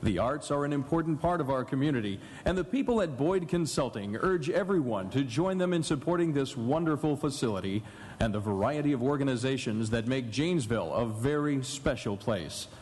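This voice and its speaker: Guy reading a sponsor spot on live radio, average pitch, bit of hall echo. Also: animated, fairly quick, darkish tone. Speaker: sponsor announcer. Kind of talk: scripted radio sponsor message. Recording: echoey hall live broadcast.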